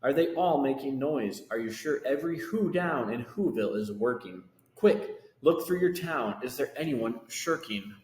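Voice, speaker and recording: A man's voice: mid-range voice, lively intonation, moderate pace, few pauses, darkish tone, lightly acted. A man voicing a big, kindly, earnest and encouraging male elephant in a storybook read-aloud. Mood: earnest, urging. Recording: echoey room with long reverb.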